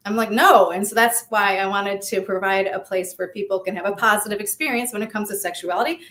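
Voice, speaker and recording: A woman's voice: medium pitch, fairly quick, fairly bright tone. A woman in her fifties with an American accent, speaking frankly and with energy as an interview guest, swearing freely. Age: 50s. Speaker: guest, sex-positive activist. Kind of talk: candid interview talk.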